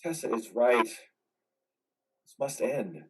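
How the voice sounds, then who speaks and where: A man's voice: mid-range voice, big pitch swings, very slow, slightly rough, full character voice. Man acting an anguished soldier, muffled and distant. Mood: grieving, tender. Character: anguished, vengeful male war veteran soldier. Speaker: amateur actor. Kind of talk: dramatic play reading.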